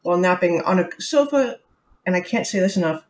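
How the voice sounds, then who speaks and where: A woman's voice: on the low side, expressive, a little fast, slight background noise, poor audio. Sarcastic, giggly female podcast co-host. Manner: sarcastic, giggly, rambling asides. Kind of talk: casual book-discussion chat.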